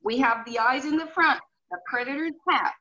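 A woman's voice: sits high, moderate pace, bright, forceful tone. A middle-aged woman with a Finnish accent, a horse behaviorist guest speaking earnestly over a video call, explaining with examples and many "you know"s. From Finland. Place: home room indoors. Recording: muffled video call audio with faint hiss.

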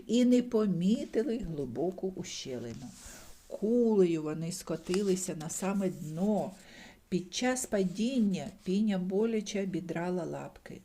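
An older woman reading a bedtime fairy tale aloud expressively, jumping to high voices for characters and dropping to soft whispers. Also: slightly rough.

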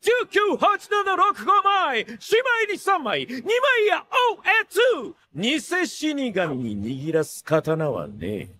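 A cocky, flamboyant anime swordsmith with the swagger of a showman. His very high male voice swings wildly across its range. The delivery is theatrical and teasing, with a playful sing-song that can drop into coldness. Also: huge pitch swings, slow, hardly any pauses, pushed and bright, full character voice. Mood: boastful. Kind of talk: Japanese anime voice acting.

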